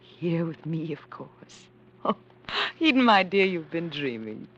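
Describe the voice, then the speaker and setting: An actress in a vintage radio drama, playing a devoted, anxious young woman in love, with a soft, breathy voice. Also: sits low, very animated, slow pace, dull audio, exaggerated voice. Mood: tender. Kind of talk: 1940s radio acting.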